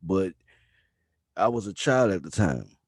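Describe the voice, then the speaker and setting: A man's voice: low voice, sing-song, unhurried, slightly rough, no room sound, clean studio sound. A man in his thirties hosting a podcast interview, talking in a laid-back, slangy way and giving advice like he's preaching. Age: 30s. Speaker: podcast host. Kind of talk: casual interview chat.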